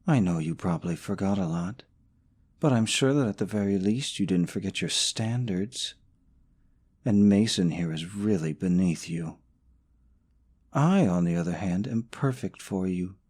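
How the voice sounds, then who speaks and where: Smug, low-voiced possessive boyfriend played by a man. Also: animated, unhurried, long pauses, a little mellow, slightly rough, exaggerated voice. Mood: smug, coaxing. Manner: smug, sarcastic, cloyingly sweet to listener. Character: smug possessive young boyfriend stalker, sneering at his rival. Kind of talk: scripted romantic audio roleplay.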